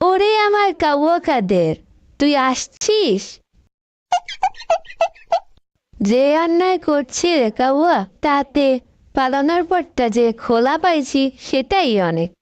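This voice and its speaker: A woman voicing a high-pitched bossy cartoon lady leader. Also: big pitch swings, measured pace, a little hiss or hum. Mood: lamenting. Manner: scolding, dramatic, colloquial. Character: bossy, scolding deposed female leader, mocking and theatrical. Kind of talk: comic cartoon voice acting.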